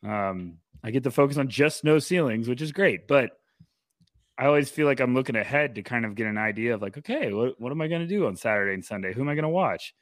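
A man co-hosting a casual sports podcast, talking in an energetic, animated way and rambling through restarts. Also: medium pitch, quick pace, no echo, studio quality. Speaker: podcast co-host.

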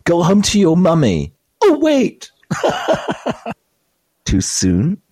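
A taunting high school bully in an audio drama, acted by a man. His raspy voice drags slowly and swings mockingly up and down, recorded dry. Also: wildly swooping pitch, darkish tone, no room sound, exaggerated voice. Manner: mocking, drawling.

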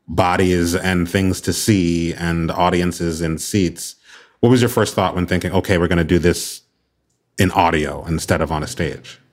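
A man with a very low voice, speaking as an interviewer. His delivery is conversational, as a host introducing and questioning a guest.

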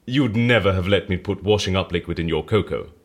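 An actor playing an eccentric, chatty British interviewer in an audio drama, with quaint phrasing and a theatrical manner. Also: male voice, low voice, a little fast, slight background noise, close to an everyday voice. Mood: jovial. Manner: quaint exclamations, theatrical swings. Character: eccentric, chatty British male case interviewer, jovial and flustered.

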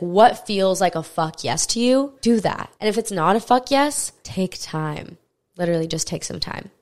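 A young woman with a slightly low voice and a stuffy nose hosts her own solo podcast. She talks candidly and earnestly, dropping casual swear words along the way. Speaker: podcast host.

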